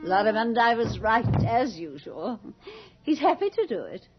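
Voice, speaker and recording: An actress in a radio drama playing an imperious, callous society hostess with a haughty, theatrical, commanding way of speaking. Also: female voice, fairly high pitch, sing-song, moderate pace, over music. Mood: brisk, imperious. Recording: dull 1980s radio drama recording.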